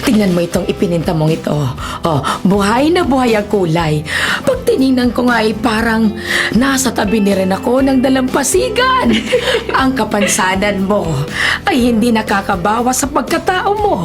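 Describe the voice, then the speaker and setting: Fond older nanny, very animated, gushing praise, radio drama, music bed. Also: female voice, a little high, normal speed. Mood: admiring, fond. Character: doting, cheerful older nanny full of praise. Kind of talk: Filipino radio drama acting. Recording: music behind the voice.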